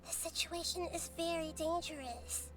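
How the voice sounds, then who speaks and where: A small, wise deity from a video game. Her voice is high and girlish, yet she speaks gravely and compassionately, in measured, earnest sentences. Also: over music. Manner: measured, earnest, articulate lecturing.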